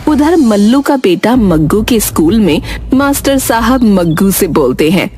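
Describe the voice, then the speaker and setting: Female storyteller for children's cartoon narration, mid-pitched, scripted delivery over music. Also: very animated, a little slow, dark tone.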